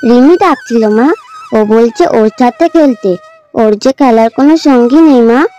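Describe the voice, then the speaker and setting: A high, mellow little girl played by a woman, calm and innocent, speaks slowly and plaintively like a small ghost in a horror story. The recording is dull and lo-fi, with music behind it. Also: close to an everyday voice. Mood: gentle pleading. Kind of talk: dramatized horror story acting. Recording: dull lo-fi audio over music.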